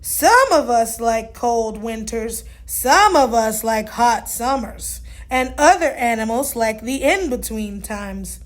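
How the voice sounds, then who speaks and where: Woman playing a calm, unhurried male polar bear. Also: a little high. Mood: calm, content. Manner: measured, explaining. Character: calm, friendly male polar bear who loves cold.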